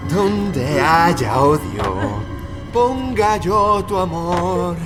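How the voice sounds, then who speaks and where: A deranged male killer in a true-crime audio drama. His voice sits high for a man and he speaks slowly, with eerie pious devotion. Music runs clearly behind him. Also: animated, full character voice. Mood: eerie fervour. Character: deranged male serial killer, soft-spoken, fanatically pious, obsessed with mother.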